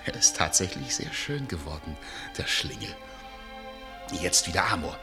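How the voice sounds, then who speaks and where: An actor playing a bossy, wry middle-aged theatre director in a German radio drama, swinging between muttered asides and barked orders. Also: male voice, low-pitched, big pitch swings, moderate pace, over music. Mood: brisk, pleased.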